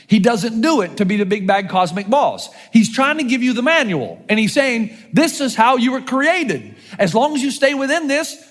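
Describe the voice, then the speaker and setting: A man in his fifties, a pastor, preaching a sermon with animated, joking delivery and rhetorical questions. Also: high voice, big-room sound. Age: 50s.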